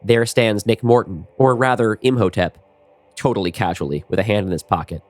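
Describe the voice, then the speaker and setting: Lower-pitched casual male drama narrator. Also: a little fast, darkish tone.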